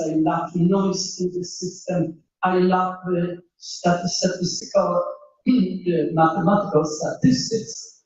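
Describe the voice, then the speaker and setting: Older polish lady prof talking slow and emphatic, far from the mic, muffled and super echoey. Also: medium pitch, darkish tone. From Poland. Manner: earnest, emphatic, searches for words. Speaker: professor speaking at a meetup. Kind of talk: unscripted talk to an audience.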